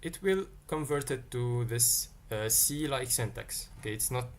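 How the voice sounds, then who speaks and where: A man's voice: mid-range voice, a little slow. Man teaching on a livestream. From Tunisia. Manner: thinks aloud, answers chat questions as they come in. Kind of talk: live-streamed coding lesson.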